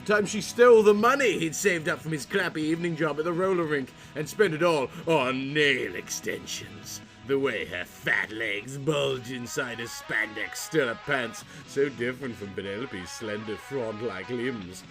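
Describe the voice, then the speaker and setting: A man narrates in a florid, theatrical audiobook style. His mid-pitched voice is bright and forceful, with a mock-serious delivery. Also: animated, moderate pace, few pauses, faint music behind. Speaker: story narrator. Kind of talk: dramatic comic audiobook narration.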